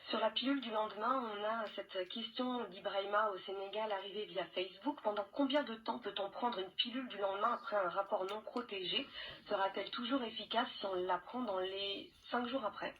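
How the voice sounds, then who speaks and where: A woman's voice: mid-range voice, brisk, distant mic, muffled recording, a crowd in the background. A woman hosting a health call-in show on a shortwave radio broadcast, introducing questions and guests. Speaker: radio host.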